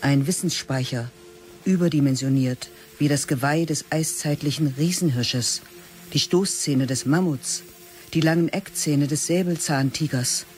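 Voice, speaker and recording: A man narrating a radio play in a literary style, terse, measured and sober. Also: on the high side, soft background music.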